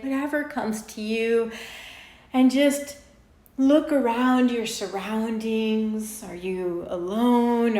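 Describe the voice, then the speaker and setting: A woman leading an unscripted guided meditation in an informal, conversational way, with halting pauses. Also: a little high. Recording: roomy echo on the voice.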